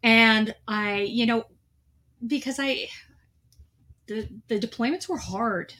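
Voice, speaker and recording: A middle-aged woman with a bright, crisp, somewhat high voice. She speaks candidly and reflectively about her own past, in an earnest conversational tone. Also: takes it slowly. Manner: earnest, reflective, with light laughs. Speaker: guest, veteran. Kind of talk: candid personal storytelling.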